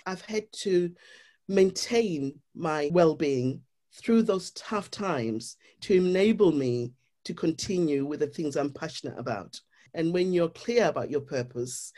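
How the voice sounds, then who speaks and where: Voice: medium pitch, darkish tone. Earnest speaker with a Zimbabwean accent. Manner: earnest, explanatory, with frequent "you know". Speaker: guest. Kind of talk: reflective interview talk.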